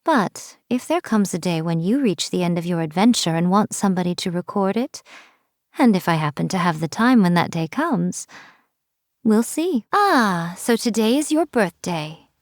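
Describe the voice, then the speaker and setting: A woman's voice: on the low side, expressive, normal speed, dry recording, clean studio sound, lightly acted. A woman voicing a haughty, playful fox-spirit shrine maiden in a video game, with a teasing, self-assured, theatrical delivery. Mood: ceremonial. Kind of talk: scripted video game character voice lines.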